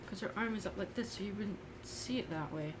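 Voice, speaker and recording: A woman's voice: medium pitch, brisk, heavy background noise. A woman livestreaming as an artist, giving casual, unscripted commentary on her drawing and thinking aloud in musing fragments.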